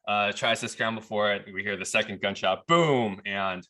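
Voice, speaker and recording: A man from Texas tells a story on a podcast, loose and conversational. His voice sits low but has a bright, forward edge to it. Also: moderate pace. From Texas, USA. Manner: relaxed, chatty, laughs at the absurd parts. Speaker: podcast guest. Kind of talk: casual storytelling.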